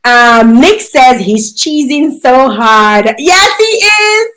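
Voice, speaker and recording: A woman's voice: sits high, expressive, slow, fairly bright tone. A woman from Dominica with a Dominican accent, hosting a live interview, giggly and reading viewer comments aloud. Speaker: interview host.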